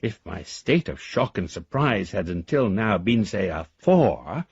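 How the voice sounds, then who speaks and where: A man's voice: on the low side, sing-song, a little slow, vocal fry, darkish tone, husky, no echo, muffled recording, full character voice. An actor playing an eloquent, whimsical elderly father in a radio drama, wordy and wryly formal as he reads his letters aloud. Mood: bemused wonder. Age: older.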